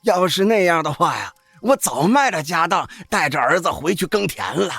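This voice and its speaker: An actor playing an old village opera-troupe boss, folksy, worldly and cautious, with a raspy, sighing, drawling delivery in an audio drama. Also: male voice, a little high, big pitch swings, moderate pace, a bit bright, no room sound, soft background music, exaggerated voice. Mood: weary, sighing. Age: older. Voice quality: raspy, creaky.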